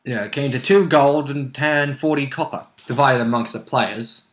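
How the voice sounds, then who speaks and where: An echoey room surrounds this man's medium-pitched voice. He chats casually as a player in a tabletop role-playing game, retelling moments in halting bursts and quoting the characters. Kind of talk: casual chat.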